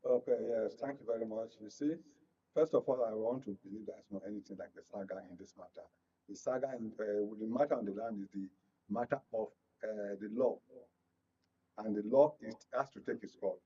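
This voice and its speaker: A Nigerian man speaking as a media analyst on a political panel, explaining his points in deliberate phrases. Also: lowish voice, dark tone, husky, dull audio.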